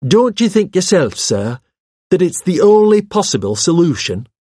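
A man voicing a nervous, obstinate man in an audiobook, with a shrill, fidgety and insistent delivery. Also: very animated, normal speed, a little mellow, husky, no echo, clean studio sound. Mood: eager, insistent. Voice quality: shrill when agitated. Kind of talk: audiobook character voice.

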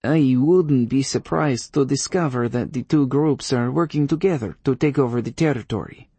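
A man's voice: mid-range voice, moderate pace, warm tone, slightly rough, no room sound, pitched above the performer's own voice. A man narrating an audiobook, voicing a haughty, sarcastic witch woman with a Polish accent. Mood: worried. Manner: dignified, sarcastic, coolly diplomatic.

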